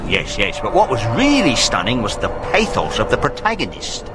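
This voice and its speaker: A man's voice: medium pitch, wildly swooping pitch, moderate pace. An actor playing a pompous, pretentious male theatre-goer in a video game, effusive and self-important. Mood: smug rapture. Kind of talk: comic video game acting. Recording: loud music over the voice.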